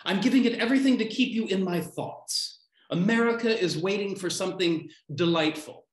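A man in his fifties reading his poems aloud on a video call from home, declaiming short lines with deliberate pauses. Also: high-pitched. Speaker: poet reading own poems. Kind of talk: live poetry reading. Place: small room at home. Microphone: computer mic on a video call. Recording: roomy, echoey home video-call sound.

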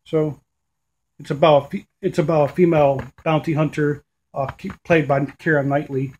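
A man in his thirties casually showing off his DVD finds at home, rambling with fillers and restarts, recorded on a webcam. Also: fairly high pitch. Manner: rambling, with frequent fillers and self-corrections. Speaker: DVD collector vlogger. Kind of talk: casual video update. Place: small room at home, indoors.

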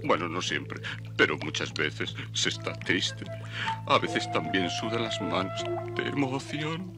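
Gushing lovestruck cartoon beetle, swooping voice. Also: male voice, low-pitched, expressive, a little slow, over loud music. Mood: earnest. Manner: florid, gushing, prone to operatic crooning. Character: lovestruck, theatrical, portly male beetle, gushing romantic. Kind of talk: dubbed children's cartoon acting.

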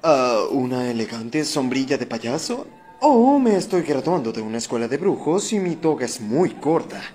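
Man playing a lively, bumbling flirty cat. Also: mid-range voice, normal speed, faint music behind, lightly acted. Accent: Latin American. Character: flirty, awkward, rambling male cat in costume.

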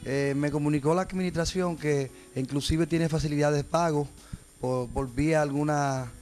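A man's voice: a little high, fairly even intonation, normal speed, a little mellow. A young man, a gym trainer explaining his program in a TV studio interview, earnest and hesitant, into a handheld mic. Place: TV studio set.

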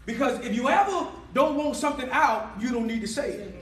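A man preaching a sermon in a church hall, calling for responses and rising to shouts, recorded by a far-off camera. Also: very high-pitched, lively intonation, a little fast, crowd noise. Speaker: pastor.

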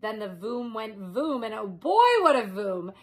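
A woman in her thirties reading a children's story aloud in an expressive, deliberate way, stretching key words. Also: fairly high pitch, slightly rough. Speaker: childcare reader. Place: small furnished room at home, indoors.

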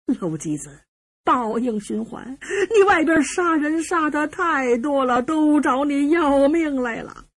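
A woman storyteller voicing an old father who wails and sobs a drawn-out lament for his doomed son. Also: high voice, lively intonation. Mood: mournful taunting. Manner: drawn-out sobbing lament with stretched cries. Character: wailing old father mourning his doomed son, mocking ghostly mourner.

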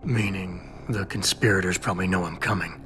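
An actor playing a guarded security chief in his thirties in a video game, clipped, dry and deadpan. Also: sits low, fairly quick. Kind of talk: video game cutscene acting.

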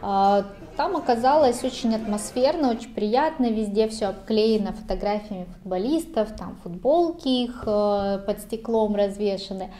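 A woman in her thirties narrates her road trip in a chatty, conversational way. Her voice is mellow and warm rather than bright, with a medium pitch. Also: moderate pace, few pauses. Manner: chatty, laughing storyteller. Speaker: travel vlogger. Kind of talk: casual travel narration.

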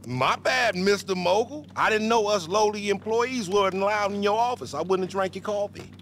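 Brash hustler, high voice, boastful, teasing, slangy, game cutscene, slight background noise. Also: male voice, moderate pace, a bit bright. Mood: mock humble. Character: brash, loud, wisecracking male street hustler. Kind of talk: video game cutscene acting.

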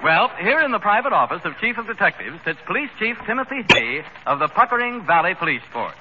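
A man's voice: sits high, very animated, fast. A man playing a pompous crime-show announcer in a declamatory 1930s radio comedy style. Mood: mock solemn. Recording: noisy, muffled 1930s radio recording.

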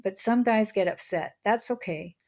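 A woman reads her own nonfiction book aloud in a steady, measured delivery. Her voice sits at an ordinary middle pitch for a woman.

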